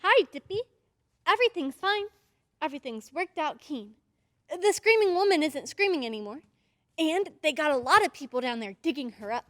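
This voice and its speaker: A woman's voice: high voice, lively intonation, normal speed, fairly bright tone, no echo, studio quality. A woman playing an innocent, insistent little girl in an old-time radio drama, speaking with emphatic eagerness. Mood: cheerful, relieved.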